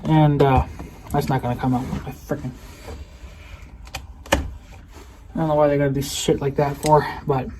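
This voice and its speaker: A man's voice: medium pitch, little pitch movement, a little slow, pauses often, background noise. A man casually rambling through a product unboxing vlog, often doubling back and correcting himself in a hesitant, unscripted way. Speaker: vlogger showing new purchases.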